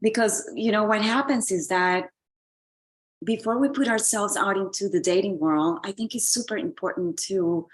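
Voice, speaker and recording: Woman from Colombia, relationship coach and author, earnest and encouraging interview guest, mid-pitched. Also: moderate pace. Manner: earnest, encouraging, repeats words while finding them.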